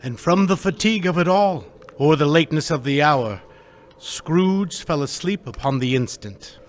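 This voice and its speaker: A man's voice: a little high, expressive, normal speed, slight background noise. A man reading scripted narration as the storyteller of a live radio play. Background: music.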